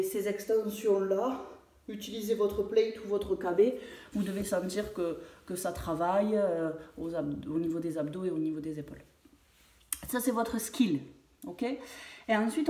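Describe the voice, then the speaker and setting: A French fitness coach talking through a home workout, with many hesitations and fillers. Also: female voice, mid-range voice, mellow. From France. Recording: echoey room, voice far from mic.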